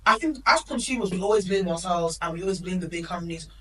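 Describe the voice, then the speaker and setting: A woman's voice: lowish voice, recorded from a distance. A woman of Nigerian heritage, co-hosting a podcast in emphatic, opinionated casual chat. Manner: opinionated, emphatic, quick asides. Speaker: podcast co-host.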